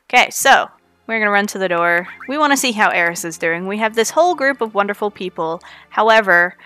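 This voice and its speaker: A woman's voice: mid-range voice, expressive, fairly quick, pushed and bright. A Canadian woman with a slight Newfoundland lilt, giving chatty, playful live commentary on her speedrun. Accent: Canadian, with a touch of Newfoundland. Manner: chatty and playful, jokes with chat.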